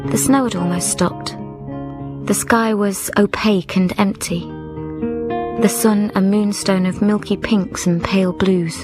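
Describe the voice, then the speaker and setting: Actress as slow-spoken, reflective young widow. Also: female voice, medium pitch, fairly even intonation, lightly acted. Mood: reflective, absorbed. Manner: measured, lyrical, introspective. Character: young widowed woman, grieving, reflective and solitary. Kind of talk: scripted first-person radio drama narration. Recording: music behind the narration.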